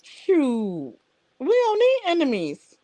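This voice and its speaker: Woman, livestream host, blunt and animated, swings her pitch a lot, dry close recording. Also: slow, no room sound. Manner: blunt, animated, talks straight to viewers. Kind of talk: casual live chat.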